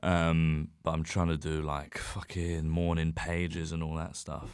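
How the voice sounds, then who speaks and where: Deep-voiced man, rambling and self-deprecating. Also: completely flat delivery, a little slow, clean tone, no echo, studio quality. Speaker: comedian guest on a podcast. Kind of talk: casual chat.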